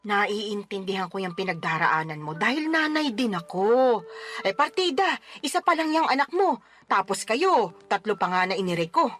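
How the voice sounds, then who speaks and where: A woman's voice: mid-range voice, animated, normal speed, a bit bright, close to an everyday voice. A woman playing a stern older mother and grandmother who scolds her daughter in a radio drama, over faint music. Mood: reproachful. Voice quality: scolding, dramatic swings up when outraged. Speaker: mother of the letter sender. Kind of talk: Tagalog radio drama acting. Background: faint background music.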